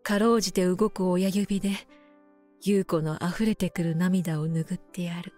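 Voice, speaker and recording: A woman playing a frail, gentle elderly mother in a voice drama, speaking softly and tenderly as she reminisces. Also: lowish voice, flat delivery, soft background music, close to an everyday voice. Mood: weak, tender. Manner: soft, tender, unhurried. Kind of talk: voice drama acting and first-person narration.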